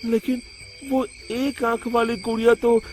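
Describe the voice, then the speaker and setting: A man's voice: very high voice, little pitch movement, unhurried, soft background music, voice pushed up. A man voicing an anxious, loyal, simple servant in a cartoon moral story, eager and earnest.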